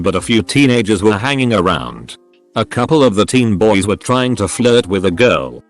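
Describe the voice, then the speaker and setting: A man reading online posts aloud as a flat, even scripted narration. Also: lowish voice. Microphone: voice-over. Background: soft background music.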